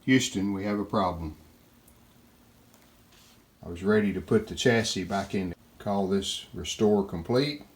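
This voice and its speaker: A man's voice: deep voice, measured pace, long pauses. A man casually narrating his hands-on repair work step by step, plain-spoken and matter-of-fact. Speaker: restoration video host. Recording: clearly noticeable background noise.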